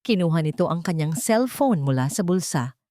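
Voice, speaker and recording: Isang babaeng nagsasalaysay ng madramang kuwento mula sa nakasulat na iskrip. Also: female voice, sits low, expressive, moderate pace, mellow, no echo, studio quality. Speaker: story narrator. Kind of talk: scripted dramatic story narration.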